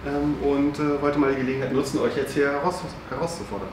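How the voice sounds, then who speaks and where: A man's voice: fairly high pitch, little pitch movement, fast. A man in his thirties from Germany, a guest player, speaking hesitantly with many fillers. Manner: hesitant, lots of ähm fillers. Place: hobby game shop, indoors. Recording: echoey room, distant mic, audible hiss.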